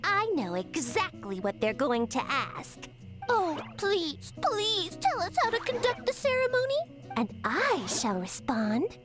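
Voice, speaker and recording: A woman's voice: very high voice, very animated, measured pace, heavily acted. A woman voicing a haughty, scheming mushroom creature in a game, talking down to everyone. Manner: condescending, smug delivery. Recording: game audio with background music.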